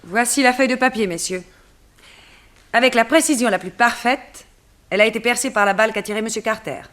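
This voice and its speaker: A woman's voice: a little high, a little fast, fairly bright tone, lightly acted. An actress playing a composed woman who presents evidence formally and precisely, in old French radio drama style.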